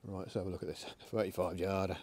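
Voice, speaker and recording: Relaxed middle-aged man, slightly low voice, casual outdoor vlog talk. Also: fast, breathy edge. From England. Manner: chatty, relaxed, talks to his dogs. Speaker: air rifle shooting vlogger. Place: outdoors in a windy field by a pond. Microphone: camera on a tripod, then handheld.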